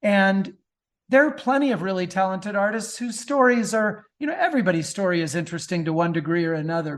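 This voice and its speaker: A middle-aged man speaking casually as an interview guest, telling stories with chatty enthusiasm. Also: high-pitched. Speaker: author and film producer, podcast guest. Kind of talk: casual interview talk about music.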